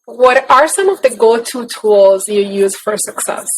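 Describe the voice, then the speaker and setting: A woman's voice: fairly high pitch, lively intonation, a little hiss or hum, poor audio. Woman podcast host on a video call, upbeat, faint clicks in the background. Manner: upbeat, quick affirmations. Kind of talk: casual interview over video call.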